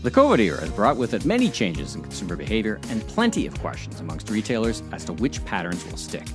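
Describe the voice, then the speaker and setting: Animated podcast host, man. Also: on the low side, fast talker, music in the background. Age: middle-aged. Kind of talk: scripted podcast intro and conversational interviewing.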